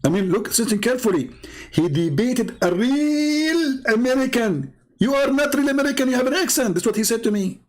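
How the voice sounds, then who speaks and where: A man's voice: very high voice, expressive, a little fast. A man with an Arabic accent hosting a livestream, challenging and mocking his viewers, his voice jumping up sharply and laughing between phrases. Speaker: livestream debate host.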